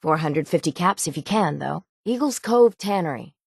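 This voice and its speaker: A woman voicing a gruff, stubborn, hard-bitten shipwright in a video game, with salty, plainspoken seafaring talk. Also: on the low side, expressive, normal speed, no echo, clean studio sound, heavily acted. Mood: matter-of-fact. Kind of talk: video game character dialogue.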